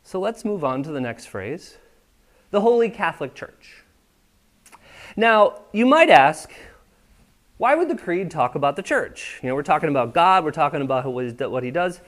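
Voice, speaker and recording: A man's voice: fairly high pitch, sing-song, moderate pace, fairly bright tone. A man preaching a sermon in a church, talking with the congregation in a conversational way and often checking that they are following. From United States. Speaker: pastor. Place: church sanctuary.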